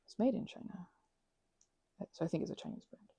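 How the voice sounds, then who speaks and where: A young woman chatting in a rambling, casual way while she works on a craft project, sometimes dropping into soft whispers. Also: fast talker, slight background noise. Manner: chatty, rambling, drifts between soft whispers and louder asides. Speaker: ASMR creator.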